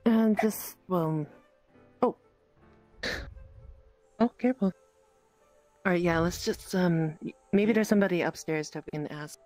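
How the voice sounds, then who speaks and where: A woman's voice: on the low side, measured pace, pauses often, a little mellow, dry recording, faint music behind, close to an everyday voice. A woman playing a protective, motherly character in an online roleplay, hesitant at times and firm when scolding. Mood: concerned. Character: protective, motherly woman, bossy and anxious about a child.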